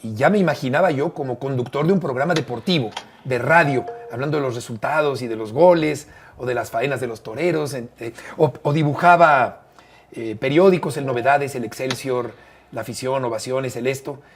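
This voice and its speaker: A Mexican man in his fifties with a Mexican accent, a sports commentator telling stories in an interview, expressive and emphatic with frequent fillers. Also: mid-range voice, brisk, slight background noise. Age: 50s. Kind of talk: conversational interview storytelling.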